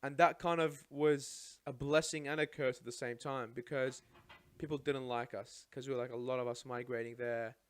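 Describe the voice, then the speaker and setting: A young man from Iraq whose first language is Arabic, recounting his life story as a podcast guest in a studio, chatty and animated, often adding "right?". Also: mid-range voice, normal speed, few pauses, clear, resonant tone. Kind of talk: casual storytelling chat.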